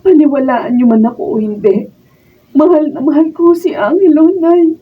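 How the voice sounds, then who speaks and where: Woman playing submissive heartbroken young Filipina wife, radio drama, high voice, distant. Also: moderate pace, slight background noise, lightly acted. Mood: earnest pleading. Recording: muffled, phone-like, far from mic.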